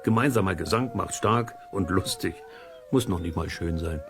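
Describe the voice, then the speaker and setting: A man's voice: low-pitched, normal speed, noisy background, lightly acted. A man narrating an educational audio play for children, explaining things simply and conversationally with playful comparisons. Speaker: host and storyteller.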